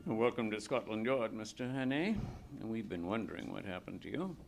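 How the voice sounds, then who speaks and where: An actor playing a brisk, affable police detective official in a live radio play, formal and businesslike. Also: male voice, on the low side, expressive, creaky, music in the background. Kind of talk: live old-time radio play acting.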